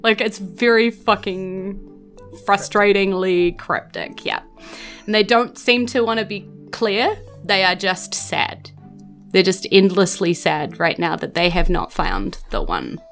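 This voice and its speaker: Female game master, New Zealand accent, bright forceful voice, casual improvised narration. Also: medium pitch, unhurried, faint music behind.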